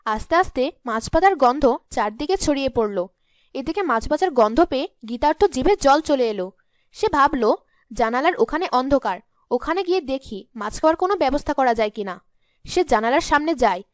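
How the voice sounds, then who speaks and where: A woman's voice: high-pitched, no echo, clean studio sound. A woman narrating a scripted cartoon story as the storyteller.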